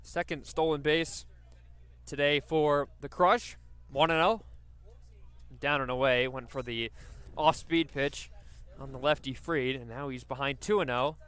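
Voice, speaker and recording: A man's voice: mid-range voice, a little slow, a bit bright, clean tone. A man doing live radio play-by-play commentary of a baseball game.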